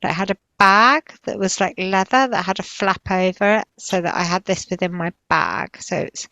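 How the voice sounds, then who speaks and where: A woman narrating visions as a healer in a session, tentative and halting, improvising as she searches for each image. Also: mid-range voice, sharp tone, dry recording. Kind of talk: slow, improvised trance narration.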